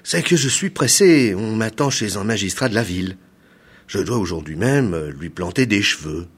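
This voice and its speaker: Man as a sly, lively-pitched folk-tale tradesman. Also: normal speed, breathy edge. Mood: deferential, impatient. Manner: polite, patient explaining with hesitant ers and mild impatience. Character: sly, unflappable itinerant hair-planting tradesman, falsely deferential.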